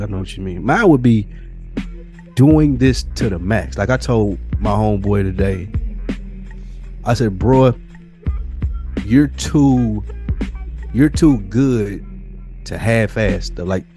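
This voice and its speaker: A man's voice: lowish voice, a little mellow. Earnest American man, music behind him. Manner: earnest, motivational, slangy street-casual phrasing. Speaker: podcast co-host. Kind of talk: casual podcast conversation.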